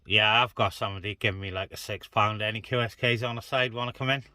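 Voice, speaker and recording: A man's voice: on the low side, fairly even intonation, fast. A man chatting casually on CB radio, hosting an open call for other stations. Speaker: CB radio operator hosting a net. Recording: very noisy CB radio hiss and static.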